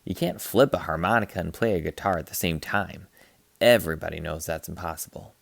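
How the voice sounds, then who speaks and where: A man's voice: deep voice, a little fast, vocal fry, no room sound, voice pushed down, exaggerated voice. Man putting on a bossy, mocking big brother. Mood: scornful. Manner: loud, mocking.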